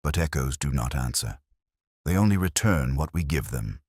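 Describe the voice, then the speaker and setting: A man voicing a grave, incisive gentleman detective in an audiobook, deliberate and commanding. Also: very deep voice, expressive, dry recording, clean studio sound. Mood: grave, stern. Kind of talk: audiobook character acting.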